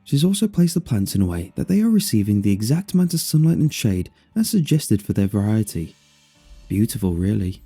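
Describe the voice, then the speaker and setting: A man with a low, deeply mellow and slightly husky voice narrates a scripted horror story in the first person. His delivery is measured and expressive, with lively rises and falls in pitch. Faint music plays behind him.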